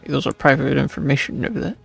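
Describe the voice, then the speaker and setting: A man streaming a game with casual commentary in a gravelly, husky voice. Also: mid-range voice, quick pace, a bit bright, soft background music.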